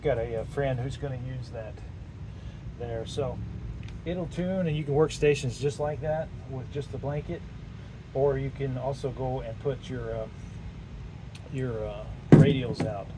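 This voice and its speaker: A man casually demonstrating radio gear outdoors as a hobbyist, talking plainly and haltingly as he works. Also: mid-range voice. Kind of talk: casual off-the-cuff hands-on demonstration. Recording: loud outdoor wind and ambient noise.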